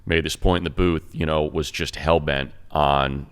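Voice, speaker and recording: Very deep-voiced radio announcer, man. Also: steady tone, normal speed, clean tone. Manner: emphatic, builds points with "man" and "look". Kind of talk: casual sports chat.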